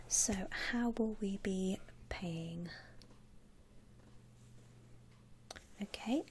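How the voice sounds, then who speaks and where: Soft whisper, woman playing a friendly vampire shop lady for asmr roleplay, slow n reassuring, clicky noisy background. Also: mid-range voice. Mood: friendly, soothing. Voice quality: breathy whisper. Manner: gentle, reassuring, chatty customer-service talk with pauses. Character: friendly, attentive female vampire coffin saleswoman. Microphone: close ASMR microphone.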